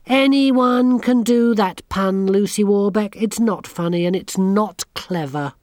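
A woman's voice: medium pitch, unhurried, darkish tone, no echo, studio quality, pitched above the performer's own voice, lightly acted. A woman voicing a haughty, bossy teenage girl witch who talks down to everyone in a sneering, imperious way.

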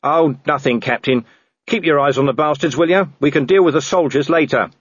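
A man playing a gruff middle-aged city watch commander who gives clipped, brisk orders. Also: on the high side, no room sound, highs cut off, voice pushed up. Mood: wry.

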